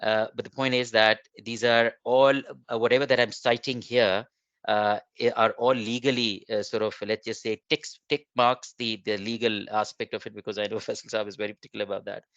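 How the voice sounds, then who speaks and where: Urdu-native guy presenting on a video call, flat low voice, lots of uhs, dry muffled sound. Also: dry recording. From Pakistan. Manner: halting, many fillers and restarts. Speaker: webinar presenter. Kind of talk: webinar presentation. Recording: dull lo-fi online call.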